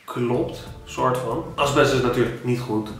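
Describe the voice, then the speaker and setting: A man in his thirties with a Dutch accent, giving home-buying tips in a casual, animated vlog with many restarts. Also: medium pitch, unhurried, soft background music. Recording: very echoey room, a bit distant.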